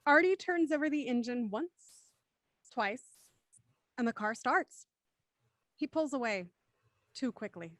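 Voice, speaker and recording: A woman reading scripted stage directions for an audio drama in a crisp, matter-of-fact way. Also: a little high, animated, a little slow, long pauses, fairly bright tone, no room sound. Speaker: narrator.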